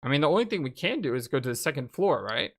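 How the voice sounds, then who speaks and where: A woman's voice: a bass voice, fast, a little hiss or hum. A woman giving casual, chatty gaming commentary as she plays and reads a game aloud. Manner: animated, chatty. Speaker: let's play host reading the game aloud.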